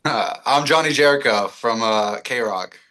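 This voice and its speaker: A man's voice: mid-range voice, unhurried, pushed and bright. A man of twenty-six, a radio personality chatting casually as a guest, slangy, rambling and self-deprecating. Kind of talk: casual chat.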